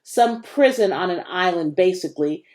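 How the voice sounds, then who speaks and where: A woman's voice: lowish voice, lively intonation, normal speed, darkish tone, natural voice. A woman voicing a laid-back, folksy, wisecracking boy in a children's storybook reading. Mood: weary, soft.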